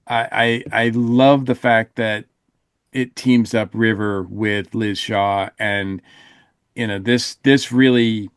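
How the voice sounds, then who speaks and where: A man's voice: on the low side, clear, resonant tone, dry recording, studio quality. A man talking casually as a podcast panelist reviewing stories, in a hesitant, rambling way.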